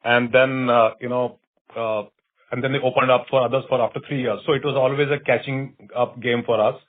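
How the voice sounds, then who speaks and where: A man with an Indian accent, a company executive answering on an earnings call in a halting way, often saying "you know". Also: medium pitch, fairly even intonation. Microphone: conference call line. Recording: tinny narrow phone line.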